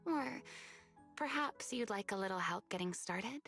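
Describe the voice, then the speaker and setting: An actress playing a weary, guarded woman who is half monster in a video game, musing and coy in a breathy murmur. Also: medium pitch, animated, unhurried, a bit bright. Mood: coy, teasing. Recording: game music under the voice.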